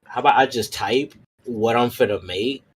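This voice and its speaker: A man's voice: mid-range voice, moderate pace, a crowd in the background, rough recording. A man playing a tabletop roleplay, improvising casually in a hesitant, meandering way. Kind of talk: casual in-character improvised chat.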